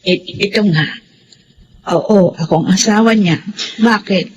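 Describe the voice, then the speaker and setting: A man's voice: sits high, takes it slowly, muffled recording, a little hiss or hum. An actor, a man, playing a sickly, apologetic and anxious spouse in a Filipino radio drama, with a Filipino accent. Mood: meek.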